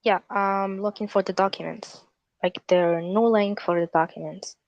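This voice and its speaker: A woman in a casual group video call, asking earnest questions in a slightly halting way. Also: medium pitch, steady tone, a little mellow, no echo, lo-fi sound. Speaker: community member asking for advice.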